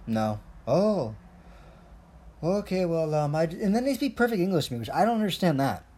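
A man's voice: on the high side, sing-song, normal speed, a little mellow. A young man, originally from Colombia, rambling in a profane, jokey, self-mocking rant as a podcast host. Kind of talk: casual rambling monologue.

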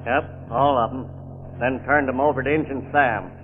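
A man's voice: mid-range voice, fairly even intonation, normal speed, close to an everyday voice. An actor playing a folksy, plain-spoken male boatman in an old radio drama. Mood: matter-of-fact. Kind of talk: 1940s radio drama acting. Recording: tinny old radio, music behind.

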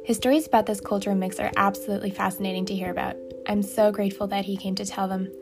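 A woman's voice: mid-range voice, level tone, fast talker, gravelly, over music. A woman hosting an interview podcast, speaking in an enthusiastic, effusive and chatty way. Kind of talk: warm, chatty interview with scripted intro.

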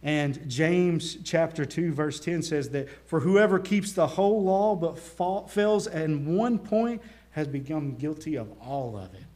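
A male pastor with a Southern US accent preaching a sermon, earnest, swelling into emphasis and dropping quiet. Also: a little high, expressive, measured pace, hardly any pauses. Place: church.

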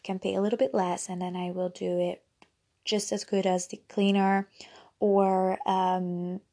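A young woman whose first language is Dutch talks through her routines in a loose, rambling podcast chat. Her voice has a gravelly fry and a husky, breathy edge. She drifts into giggly asides as she goes. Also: medium pitch, level tone, a little slow, warm tone. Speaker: podcast host. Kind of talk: casual solo podcast chat.

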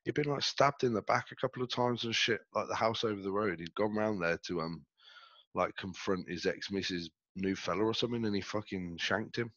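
A man's voice: on the low side, brisk, fairly bright tone, no echo, lo-fi sound. A man co-hosting a casual podcast while stuffy and under the weather, talking in a laid-back, slangy, rambling way. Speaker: podcast co-host. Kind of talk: casual chat. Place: reclined in a chair at home. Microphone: headset.

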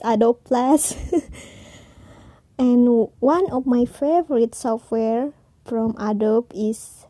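A woman's voice: a little high, mellow, no room sound. A woman in her twenties with an Indonesian accent, hosting a casual personal podcast in hesitant, non-native English. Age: 20s. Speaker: podcast host.

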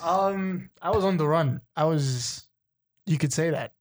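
A man's voice: on the high side, unhurried. A young man from Nairobi with a Kenyan accent, talking casually as a podcast guest. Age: young adult. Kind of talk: casual chat.

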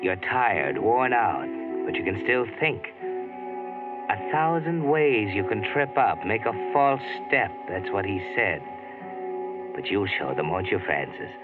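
A man's voice: fairly low pitch, very animated, a little slow, phone-quality sound, music in the background. A man narrating an old radio drama in the second person with tense urgency, on an old radio broadcast recording. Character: grim male narrator addressing a hunted woman.

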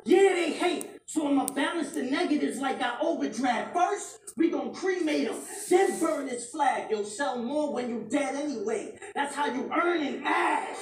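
A woman performing aggressive battle rap, shouting her bars and repeating punchlines at a live event. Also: sits high, lively intonation, unhurried, hardly any pauses. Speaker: battle rapper. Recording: echoey live venue, mic from far off.

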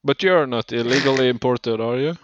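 A man chatting casually with dry, deadpan jokes. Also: medium pitch, brisk, no echo. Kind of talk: casual chat. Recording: dull, dry lo-fi recording.

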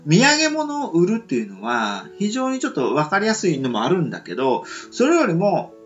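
A man's voice: high-pitched, wildly swooping pitch, brisk, lo-fi sound, faint music behind. A man co-hosting a casual radio chat, rambling with many fillers. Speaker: radio show co-host.

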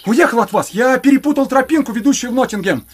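An actor playing a young travelling cloth merchant in an audio drama, timid and ingratiating, eager to please. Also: male voice, very high-pitched, expressive, fast, crowd noise. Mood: nervous. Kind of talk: Russian audio drama acting.

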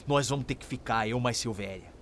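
A man's voice: medium pitch, brisk, gravelly, a little hiss or hum, lightly acted. An actor playing a resolute, protective enslaved young man in a radio drama, with a Brazilian accent and rustic, colloquial speech. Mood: urgent, reassuring.

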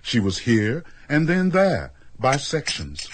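A man with a deep, husky voice delivering scripted third-person narration for a radio drama. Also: huge pitch swings, takes it slowly, a little hiss or hum.

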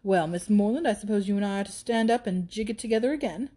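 A woman voicing a brash young gentleman in a period storybook, breezy, blunt and mocking with jaunty self-assurance. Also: medium pitch, fast, close to an everyday voice.

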